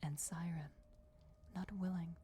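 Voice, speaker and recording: A solemn, commanding vampire goddess, acted by a woman whispers in a low, soft, mellow voice for a fantasy ASMR roleplay. She speaks very slowly and deliberately, with long dramatic pauses, ruthless yet motherly. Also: fairly even intonation, a little hiss or hum, natural voice. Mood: conspiratorial, commanding. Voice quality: breathy, whispered. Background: crypt ambience.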